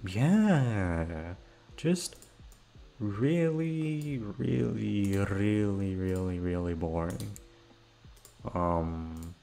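A young man rambling in a casual chat, swearing often and jumping into high-pitched exclamations. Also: darkish tone. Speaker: audio roleplay creator.

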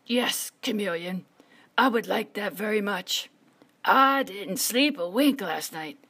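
A woman voicing a big, amiable male palm tree in a children's storybook, weary and complaining with drawn-out words. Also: medium pitch, expressive, sharp tone, breathy edge, exaggerated voice. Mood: weary, grumbling.

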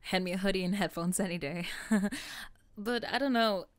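A woman's voice: medium pitch, moderate pace, no echo, natural voice. A woman voicing a nerdy, earnest teenage tomboy in a scripted audio roleplay, casual, plain-spoken and a little awkward. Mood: awkward, shy. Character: nerdy, earnest, self-conscious tall teenage tomboy girl. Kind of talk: scripted ASMR roleplay acting.

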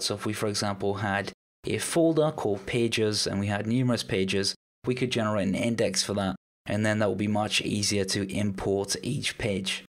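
A man with a cold, his voice a little worn, narrating a screen-recorded coding tutorial step by step. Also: lowish voice. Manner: relaxed walkthrough narration, explaining step by step. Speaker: programming tutorial host.

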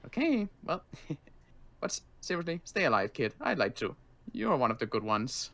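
A man voicing a wary but kindly armed guard in an improvised game roleplay, speaking casually and haltingly. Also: fairly high pitch, very animated, bright, forceful tone, heavily acted. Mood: friendly. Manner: casual, halting, hesitant delivery.

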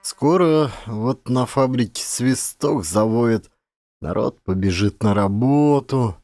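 A man narrating a literary story as a dramatized audiobook, with expressive, theatrical delivery. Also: medium pitch, takes it slowly, dry recording.

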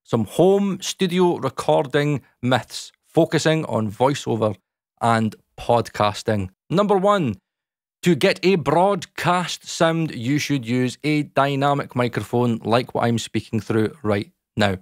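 A Scottish man in his thirties with a dark, boomy voice, chatting casually to camera about recording from his small home studio, talking close into a dynamic mic. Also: expressive, slow, no echo. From Scotland. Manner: chatty, lots of fillers, talks with his hands. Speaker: YouTube audio educator. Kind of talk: casual explainer talk to camera. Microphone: large dynamic mic close in front.

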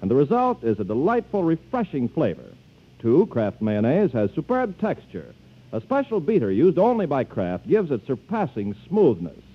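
A man's voice: medium pitch, huge pitch swings, normal speed, husky. A man working as a radio announcer, reading a scripted 1940s commercial on an old muffled radio recording.

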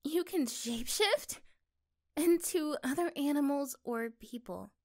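A gentle young girlfriend acted by a woman speaks in an intimate ASMR roleplay. Her voice is high and her pace is slow, with soft, hesitant pauses. She sounds affectionate and accepting. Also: expressive. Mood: reassuring, curious. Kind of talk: ASMR roleplay, intimate one-sided dialogue.

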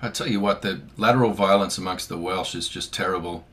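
A man's voice: low-pitched, fairly quick, fairly bright tone. A man from far north Queensland with an Australian accent, hosting a casual video call from his home in a playful, joking manner. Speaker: host. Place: home room.

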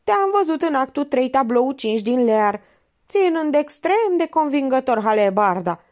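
A sarcastic older lady detective pokes at a suspect with pointed, mocking jabs, voiced by a woman reading a storybook. Her voice sits high and swings widely in pitch. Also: very animated, a little mellow. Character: sharp sarcastic older female amateur detective.

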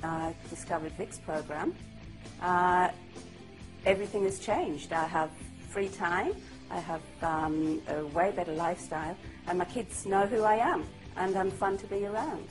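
Middle-aged woman, deep register, sincere testimonial over soft music. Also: measured pace, rough recording. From Australia. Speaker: customer testimonial. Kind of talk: testimonial to camera. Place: indoors, high-rise room with window view.

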